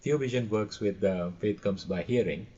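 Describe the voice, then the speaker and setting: Husky low-voiced man on dull lo-fi audio. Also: normal speed, warm tone. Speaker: podcast guest, radio expert. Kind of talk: casual storytelling.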